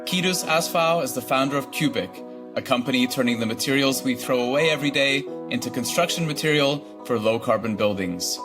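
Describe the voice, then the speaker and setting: A man hosting an interview podcast, reading a scripted introduction and then asking relaxed questions. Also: mid-range voice, brisk, music in the background. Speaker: podcast host.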